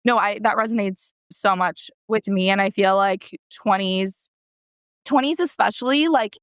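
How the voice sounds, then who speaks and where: A woman's voice: mid-range voice, a little slow, smooth, no room sound, studio quality. American woman in her thirties, rambling and conversational. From San Diego, California, United States. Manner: rambling, self-correcting, emphatic repetition. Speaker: guest, therapist. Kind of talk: casual conversational interview.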